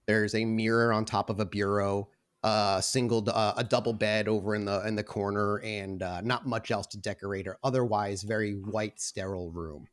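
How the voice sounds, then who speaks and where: A man's voice: lowish voice, steady tone, moderate pace. A man working as game master, narrating a tabletop roleplaying game. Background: background music.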